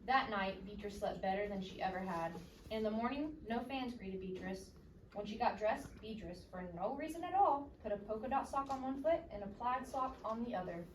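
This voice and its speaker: A woman's voice: medium pitch, normal speed. A woman reading a children's story aloud expressively and interactively, recorded at a distance in an echoey, noisy room. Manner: expressive, questioning teacher-like delivery.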